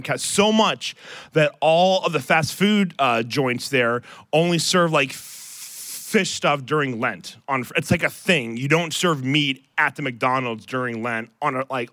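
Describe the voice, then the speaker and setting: A man's voice: mid-range voice, measured pace, a bit bright, no room sound. An American man co-hosting a live podcast, bantering casually with sarcastic, self-deprecating jokes and rambling asides. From Ohio, United States. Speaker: podcast co-host. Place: on stage before a live audience. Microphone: close stage microphone.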